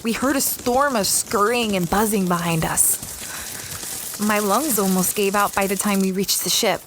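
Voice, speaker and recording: A woman's voice: mid-range voice, a little slow, background noise, natural voice. A woman playing an adventurous, easily flustered young space explorer, narrating her story in an audio drama. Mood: breathless recounting. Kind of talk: first-person audio drama narration and dialogue.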